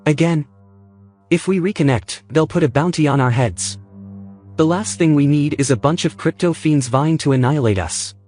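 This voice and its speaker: A man's voice: a little high, moderate pace, a little mellow, close to an everyday voice. A man playing an eager, loyal AI sidekick in an audio drama, polite, earnest and precise. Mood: anxious. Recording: music under the dialogue.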